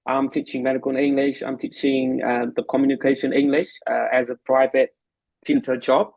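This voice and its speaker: A man's voice: medium pitch, flat delivery, fairly quick, gravelly, dry recording, highs cut off. A man with a Vietnamese accent hosting a casual chat, speaking hesitantly with frequent fillers and restarts. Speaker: host, medical lecturer and English tutor. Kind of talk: casual conversational introduction.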